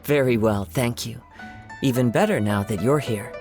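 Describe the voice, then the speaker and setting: Serene young male wanderer for a game, male actor, soft mid-pitched voice, courteous measured phrasing, music underneath. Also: natural voice. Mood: pleasant, welcoming. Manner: calm, polite, measured, formal wording. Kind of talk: animated video game voice acting.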